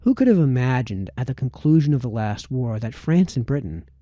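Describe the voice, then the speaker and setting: A man reads formal prose aloud in a very dark, soft-edged voice. His phrasing is measured and formal, and the sound is dry and close, with little room around it. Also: mid-range voice, animated, no echo, highs cut off. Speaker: audiobook reader. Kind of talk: reading aloud formal historical political essays.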